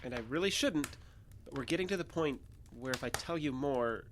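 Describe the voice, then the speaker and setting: An actress playing a guarded, evasive wounded character who hides the truth with hesitant speech in an audio drama. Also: female voice, deep voice, expressive, brisk, background noise. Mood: guarded, earnest. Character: guarded, evasive wounded alien passing as human. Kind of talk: naturalistic audio drama acting.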